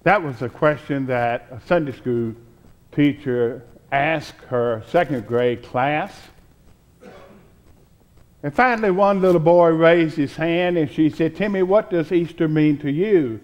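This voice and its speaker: Slow-paced older male pastor preaching. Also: a little high, darkish tone. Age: 60s. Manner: deliberate, pausing between short phrases. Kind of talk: sermon and spoken prayer. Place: church sanctuary, at the pulpit.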